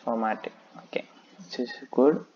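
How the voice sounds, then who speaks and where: A man's voice: medium pitch, steady tone, normal speed, highs cut off, slight background noise. A man talking through a coding tutorial, explaining step by step and thinking aloud with frequent "okay". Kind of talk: screen-recorded coding tutorial.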